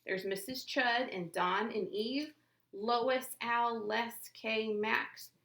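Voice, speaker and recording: A female teacher reading a picture book aloud in an expressive storytelling manner. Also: on the high side, takes it slowly, reverberant. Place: classroom.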